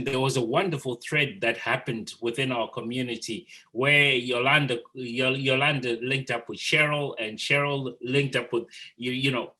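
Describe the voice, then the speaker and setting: A man's voice: medium pitch, sharp tone. A man hosting an informal group art discussion, speaking earnestly and affirmingly as he moderates. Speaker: host of an artist talk.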